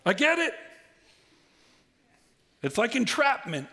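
A middle-aged male pastor giving a sermon, conversational and humorous, swinging from quiet asides to loud bursts. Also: very high voice, big pitch swings, takes it slowly. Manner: humorous, conversational preaching with big swings in loudness.

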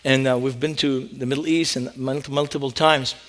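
A middle-aged man with a Lebanese accent, preaching as a guest speaker in a church, animated and emphatic. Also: medium pitch, normal speed. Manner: animated storytelling, rising emphasis. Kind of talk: sermon.

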